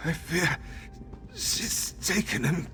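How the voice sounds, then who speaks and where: An actor playing a dying, poisoned elf guardian in a video game, strained, solemn and halting. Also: male voice, a little high, sing-song, sharp tone, faint music behind, full character voice. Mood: fading, solemn. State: weak, fading.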